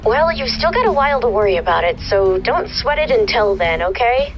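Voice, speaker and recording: A woman's voice: a little high, very animated, brisk, dull audio, faint music behind. A woman playing a skeptical teenage girl sidekick in an audio drama, casual and sardonic. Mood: teasing, skeptical.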